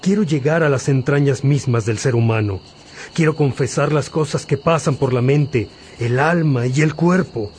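An actor playing an older, reflective, fatherly priest in a radio drama, speaking earnestly and deliberately. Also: medium pitch, a little mellow, slight background noise, close to an everyday voice. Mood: fervent. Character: older Saint Augustine, reflective, fatherly priest dictating confessions. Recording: insect-like night ambience behind voice.

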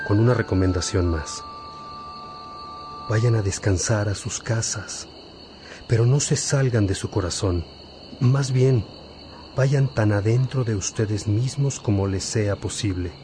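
An actor playing an elderly, gentle bishop who exhorts like a father in a radio drama. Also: lowish voice, lightly acted. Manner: paternal, exhorting, deliberate.

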